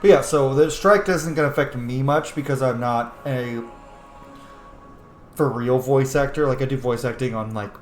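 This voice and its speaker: A man's voice: mid-range voice, normal speed. Man rambling through game commentary, roomy echo. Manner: rambling asides, playful exclamations to game characters. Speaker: gaming streamer and content creator. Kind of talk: casual let's play commentary. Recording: roomy echo under loud game music. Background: video game music.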